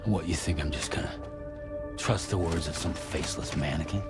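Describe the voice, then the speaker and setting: An actor playing a gruff, distrustful male courier in a video game, talking in a blunt, terse way. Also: extremely low pitch, normal speed, over loud music. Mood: suspicious. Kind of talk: video game cutscene dialogue.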